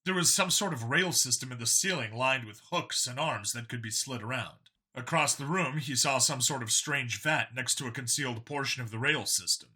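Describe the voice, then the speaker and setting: A man's voice: mid-range voice, moderate pace, hardly any pauses, bright, forceful tone, husky. A man reading scripted fiction as an audiobook narrator, in a dramatic storytelling style.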